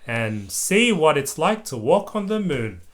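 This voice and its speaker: A parent voicing a kindly female gazelle schoolteacher from a children's storybook, explaining things brightly. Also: mid-range voice, sing-song, a little slow. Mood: encouraging. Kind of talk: children's storybook read-aloud.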